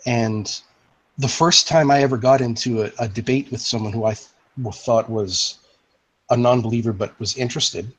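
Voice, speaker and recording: Moderator, husky male voice, talks in long reasoned sentences over voice chat. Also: medium pitch, moderate pace, rough recording. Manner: explains in long philosophical sentences. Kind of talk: casual voice-chat discussion. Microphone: online voice chat.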